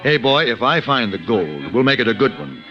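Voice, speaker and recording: A man acting a refined, urbane gunfighter in a 1950s radio western, speaking in a dry, measured, articulate way. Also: medium pitch, lively intonation, creaky, bright, forceful tone, highs cut off. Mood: wry, composed. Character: refined, urbane adult male gunfighter of the Old West. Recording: band-limited vintage radio recording with music.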